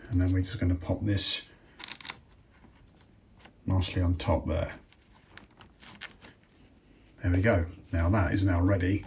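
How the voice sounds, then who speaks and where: A man's voice: sits low, darkish tone, slight background noise. A British man presenting a casual step-by-step installation demonstration, talking informally and unhurriedly as if thinking aloud. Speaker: presenter of a product installation tutorial.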